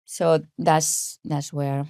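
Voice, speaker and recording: A woman's voice: deep voice, level tone, drawn-out words, warm tone, clear, resonant tone, dry recording, clean studio sound. A woman in her forties with a Spanish accent, a podcast guest talking casually and hesitantly with many fillers. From grew up in Spain. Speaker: podcast guest, health coach. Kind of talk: casual interview talk.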